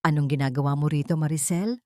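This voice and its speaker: A woman narrator plays a cold and menacing husband from a Tagalog-style melodrama audiobook. The voice is low, slow and deliberate, full of scorn. The sound is close and dry. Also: mellow, dry recording, close to an everyday voice. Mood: cold, suspicious. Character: cold, menacing, mocking husband of a rich mansion. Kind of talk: audiobook drama dialogue.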